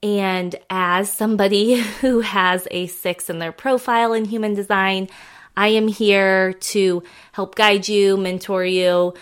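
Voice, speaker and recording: A woman's voice: medium pitch, fairly even intonation, slow pace, clear, resonant tone. A woman hosting a casual solo podcast, chatting in a rambling, affectionate way while congested from a head cold. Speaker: podcast host.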